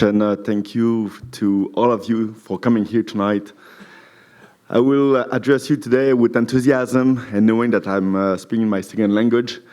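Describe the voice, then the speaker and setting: A middle-aged man whose first language is French, giving an earnest, slightly halting candidate speech in his second language. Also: medium pitch, a little mellow. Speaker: council candidate.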